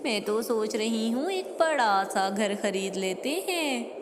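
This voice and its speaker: A scheming cartoon crow wife, acted by a woman nags and exclaims in a high voice. The sound has long reverb and clear noise. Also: expressive, takes it slowly. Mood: coaxing. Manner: coaxing, exclaiming. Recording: noisy, long hall-like reverb.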